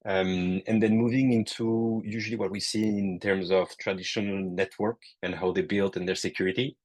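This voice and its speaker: A man with a French accent giving a technical webinar presentation over a call, halting often with fillers and restarts. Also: on the low side, little pitch movement. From France. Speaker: guest presenter.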